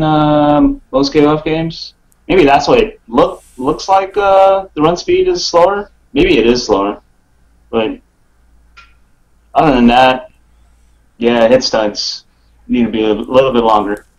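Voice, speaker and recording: A man's voice: medium pitch, expressive, moderate pace, low-quality recording. A man chatting casually as a gaming podcast guest, putting his thoughts out hesitantly in short pieces. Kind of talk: casual chat.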